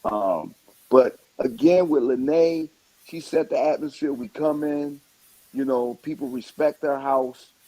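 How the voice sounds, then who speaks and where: A man chatting casually in an interview, rambling in an animated way and repeating words for emphasis. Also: a little high, takes it slowly, no room sound, low-quality recording. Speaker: stand-up comedian and stage play actor.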